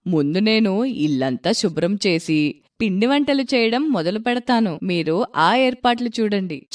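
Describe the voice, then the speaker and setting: A woman's voice: mid-range voice, animated, a little slow, natural voice. A woman voicing a dutiful, worried elderly village mother-in-law in a story, plaintive and earnest. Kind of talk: storybook voice acting.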